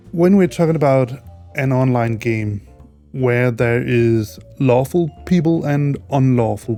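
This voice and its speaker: A Danish-accented man talks to his viewers in a soft, mellow tone, weighing each word and often starting his sentences over. He sounds a little tired, as if it were very early in the morning. Quiet music plays faintly behind him. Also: medium pitch. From Denmark. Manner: hesitant, many restarts and fillers, careful wording. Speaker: channel host. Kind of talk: casual solo commentary vlog.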